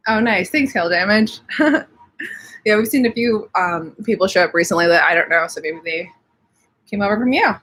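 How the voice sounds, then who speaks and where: A young woman chats casually on a live stream from home, answering her viewers' comments one after another. Her voice has a soft husky edge, and she sounds a little sniffly from allergies. Her pitch sits in an ordinary middle range. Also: expressive, moderate pace. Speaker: livestream host.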